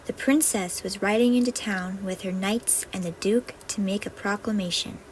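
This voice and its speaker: A woman's voice: medium pitch, normal speed. A woman storyteller reading a scripted fairy-tale narration. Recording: faintly noisy home recording.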